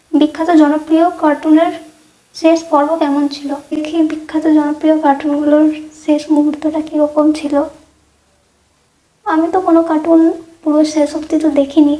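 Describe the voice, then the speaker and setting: Female reaction host, Indian Bengali accent; high, even-pitched voice, casual chat. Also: normal speed, pauses often, low-quality recording.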